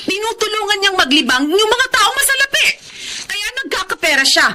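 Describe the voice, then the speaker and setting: High, swooping Filipino nagging wife. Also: female voice, expressive, normal speed, sharp tone, slight background noise, exaggerated voice. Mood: angry, threatening. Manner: scolding, emphatic. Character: nagging, money-pressed wife and mother, sharp-tongued and demanding. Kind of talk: Filipino radio drama acting.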